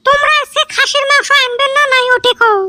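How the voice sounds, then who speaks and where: A woman's voice: very high voice, fairly even intonation, fairly quick, pushed and bright, slight background noise, full character voice. A woman voicing a quarrelsome, aggrieved village wife in a comedy cartoon, scolding in a northern Bangladeshi Rangpuri accent. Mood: nagging, aggrieved. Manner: scolding, complaining. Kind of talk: comedy cartoon voice acting in rural dialect.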